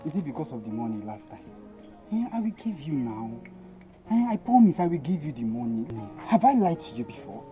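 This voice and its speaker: A man's voice: fairly high pitch, very animated. A man playing a furious young lover. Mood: coaxing. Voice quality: loud and rapid, shouting accusations. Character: young man, Victor, denying he fathered a child. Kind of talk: Nollywood-style skit acting. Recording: muffled audio under background music.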